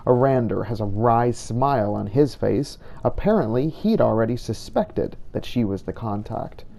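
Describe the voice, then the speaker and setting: A man's voice: medium pitch, lively intonation, normal speed, vocal fry, mellow. A man narrating his game character's story in the first person, in a wry storytelling manner. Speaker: game player narrating a role-play.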